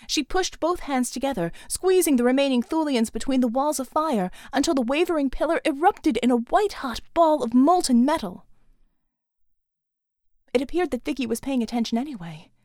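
A woman's voice: high voice, darkish tone, no room sound, clean studio sound. A woman reading dramatic action fiction as a scripted audiobook narration.

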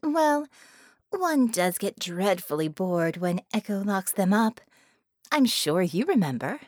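A woman's voice: mid-range voice, sing-song, slow, no room sound, studio quality. A woman voicing a haughty, cunning, bored villainess in a cage, with taunting, formal, theatrical phrasing, for an audiobook. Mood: haughty, scornful.

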